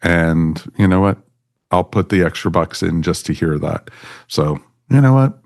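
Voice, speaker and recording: Low-voiced man hosting a casual podcast. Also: lively intonation, measured pace, vocal fry, a little mellow. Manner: chummy, thanks and addresses people as friends. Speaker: podcast host. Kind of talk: casual chat, wrapping up a podcast.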